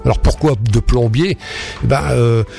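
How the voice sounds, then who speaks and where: A radio guest with a gravelly voice tells a long story, stopping often to search for words. He repeats himself and restarts his sentences. Faint music plays behind him. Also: mid-range voice, moderate pace, warm tone. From France. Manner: hesitant, many fillers and restarts. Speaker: guest speaker on spiritualism. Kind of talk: radio talk explaining history.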